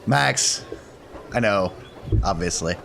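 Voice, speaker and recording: Male player, lower register, casual improvised role-play, slight noise in the background. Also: expressive, slow, creaky, a bit bright. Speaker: tabletop game player.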